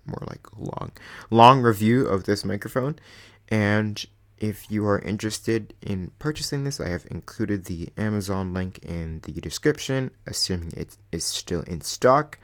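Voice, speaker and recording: A young man hosting his own channel, casually rambling through a microphone review with lots of fillers and self-deprecating asides. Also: fairly low pitch. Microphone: desk USB microphone.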